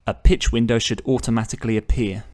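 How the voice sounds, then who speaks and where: A man narrating a step-by-step screen tutorial as the channel host, measured and instructional. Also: medium pitch, fairly even intonation, a little mellow.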